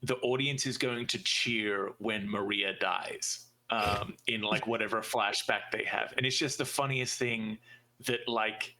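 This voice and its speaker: A man's voice: fairly low pitch, steady tone, a little slow, bright, forceful tone, low-quality recording. A man hosting a podcast, chatting casually and informally about movies and games like an enthusiastic fan. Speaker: podcast host.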